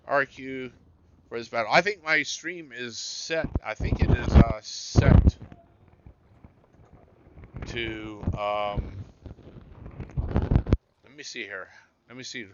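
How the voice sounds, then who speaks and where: A man's voice: mid-range voice, highs cut off. A man with a scratchy voice hosting a live game stream, muttering to himself as he searches through settings. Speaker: gaming streamer.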